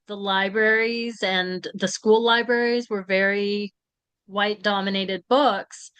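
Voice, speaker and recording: A woman in her forties from Florida, a literary agent, talking in a measured, reflective way as an interview guest. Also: mid-range voice, steady tone, a bit bright, smooth, no room sound. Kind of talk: conversational interview.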